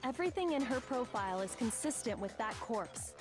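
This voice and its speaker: A woman's voice: a little high, lively intonation, brisk, close to an everyday voice. A woman voicing a cool, analytical teenage girl detective in an amateur game dub. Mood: matter-of-fact. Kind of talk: amateur voiced reading of game dialogue. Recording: game music behind the voice.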